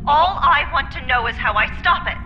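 An actress playing a commanding, angry woman scientist in an audio drama, forceful, biting and urgent. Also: high voice, animated, moderate pace, highs cut off, over music. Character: commanding, furious woman scientist facing a disaster. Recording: long reverb, noisy ambience.

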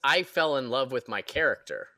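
A man talking casually on a panel as a podcast actor, earnest and somewhat halting in how he phrases things. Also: medium pitch, expressive, pushed and bright. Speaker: actor and player on an audio drama podcast.

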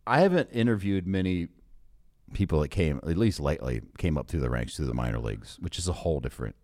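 An American man hosting a sports podcast in a casual, animated, conversational way. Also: a bass voice, fast. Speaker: podcast host, sports broadcaster. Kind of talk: casual podcast interview chat.

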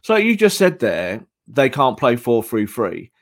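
Hoarse guy going through football tactics, mid pitch, repeats himself for emphasis. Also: huge pitch swings, unhurried, dry recording, clean studio sound. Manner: analytical, emphatic, repeats points. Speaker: co-host and tactics analyst. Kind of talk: football tactics podcast discussion.

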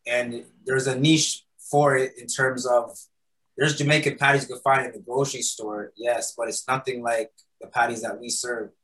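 Male guest, relaxed rambling answers, average pitch. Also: normal speed, low-quality recording. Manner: loose and conversational, many fillers and "right?". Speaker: guest, patty shop founder. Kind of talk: casual interview answers. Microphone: recording studio mic.

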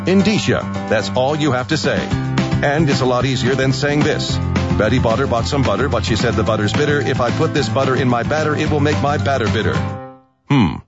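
A man's voice: mid-range voice, fairly quick. A man announcing a playful scripted radio advertisement. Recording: dull stream with loud music bed.

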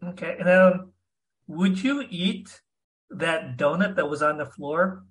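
Bright, higher-voiced male host. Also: slow. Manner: curious, self-deprecating questions with repeated restarts. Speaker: podcast host. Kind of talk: casual interview.

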